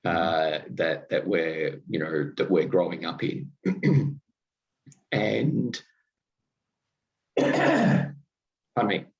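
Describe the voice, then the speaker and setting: A very low-voiced Australian man hosting a relaxed chat. He circles around his questions with plenty of fillers and restarts, and laughs easily. The recording sounds a bit dull and lo-fi. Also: extremely slow, gravelly, long pauses. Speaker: podcast host. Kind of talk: casual interview chat.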